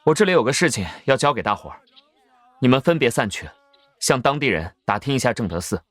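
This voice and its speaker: An actor playing a guarded, restless young Taoist wanderer in an audio drama, direct and brusque. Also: male voice, mid-range voice, sing-song, fast talker, fairly bright tone, dry recording. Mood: decisive.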